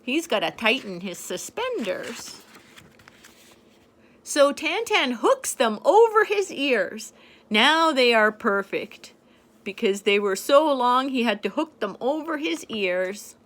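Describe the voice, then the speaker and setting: A woman's voice: on the high side, big pitch swings, slow pace. Playful grandmother reading a picture book. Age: older. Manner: gentle, playful, pointing out the pictures. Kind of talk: storybook read-aloud to a child.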